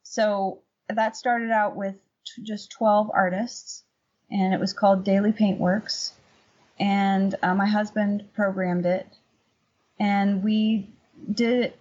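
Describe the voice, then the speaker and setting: Husky lady chatting as a podcast guest, casual answers, kinda dull lo-fi audio. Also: medium pitch, darkish tone. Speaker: podcast guest, painter and workshop teacher. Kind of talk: casual conversational interview answers.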